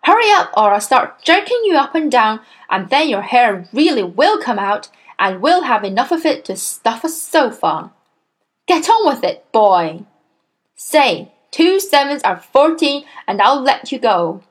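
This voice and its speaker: A woman's voice: a little high, sing-song, unhurried. A woman voicing a huge, bullying headmistress in a children's storybook, barking and snapping with imperious contempt for children. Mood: threatening.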